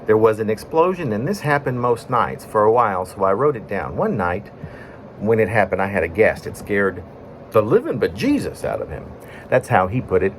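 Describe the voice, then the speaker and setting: A man reading his own poem in a conversational storytelling way, breaking it into short phrases with pauses. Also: fairly low pitch, big pitch swings, a little hiss or hum. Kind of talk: spoken-word poetry reading, conversational storytelling.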